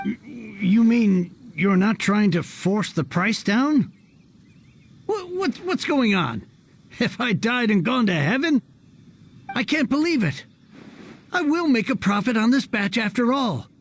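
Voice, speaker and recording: High, creaky, flustered game trader. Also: male voice, lively intonation, unhurried, exaggerated voice. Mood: amazed, grateful. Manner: flustered, stammering. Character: excitable, hard-pressed male trader, anxious and grateful. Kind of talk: video game voice acting.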